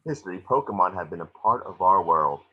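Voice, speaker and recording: A man's voice: sits low, lively intonation, brisk, husky, phone-quality sound. A man reading the part of a grand corporate documentary narrator in an amateur table read. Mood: grand, informative. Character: corporate narrator of an informational city welcome video.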